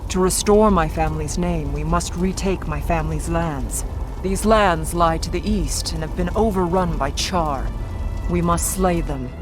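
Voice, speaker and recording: A woman's voice: fairly low pitch, unhurried, music in the background, lightly acted. An actress playing a grim, honor-bound warrior woman in a video game, speaking in solemn, stoic declarations. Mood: solemn resolve. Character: grim, honor-bound female Norn warrior avenging her family. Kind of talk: dramatic video game cutscene acting.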